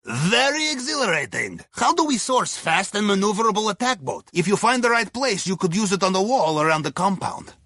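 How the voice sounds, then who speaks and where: An actor playing a wry, courteous Russian submarine pilot, advising on missions in a video game with a Russian accent and clipped grammar. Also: male voice, high voice, very animated, brisk, hardly any pauses, sharp tone, no room sound, clean studio sound, exaggerated voice. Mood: focused, advising. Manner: wry, deferential, clipped grammar with dropped articles. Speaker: mission handler on comms. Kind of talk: scripted video game dialogue.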